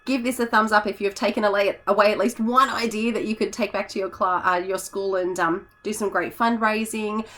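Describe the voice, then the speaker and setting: Hoarse, chatty woman. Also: medium pitch, steady tone, a little fast, few pauses, fairly bright tone. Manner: chatty, rambling, with fillers and self-corrections. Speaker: teacher and YouTuber. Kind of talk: casual vlog chat.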